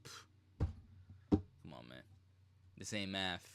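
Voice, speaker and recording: A man's voice: fairly low pitch, expressive, moderate pace, heavy background noise. A man giving casual, slangy live reaction commentary as a streamer, rambling on a close mic.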